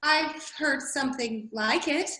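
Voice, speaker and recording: A woman's voice: sits high, slow, pushed and bright, heavily acted. A woman playing a curious, polite but easily indignant young girl in a readers' theater storybook piece, prim and questioning, in an echoey room. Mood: polite curiosity. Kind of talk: readers' theater of children's classic.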